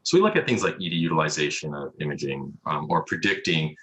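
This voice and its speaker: A man's voice: rumbling low, big pitch swings, fast talker, a bit bright, a little hiss or hum, low-quality recording. A man answering questions as a medical specialist in a casual interview over a video call, explaining things with frequent asides. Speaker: emergency radiologist, interview guest. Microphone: video call microphone.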